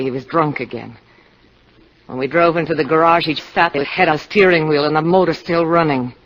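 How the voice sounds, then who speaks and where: An actress playing a discontented, scheming wife who pleads seductively, in the style of 1950s radio drama. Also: female voice, low voice, slight background noise. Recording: dull, lo-fi 1950 radio broadcast.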